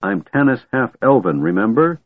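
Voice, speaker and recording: Fantasy storybook leader, male reader acting: half-elf man, impatient and commanding, husky, swinging pitch, phone-like band. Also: animated, measured pace. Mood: angry, exasperated. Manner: snapping, impatient, forceful.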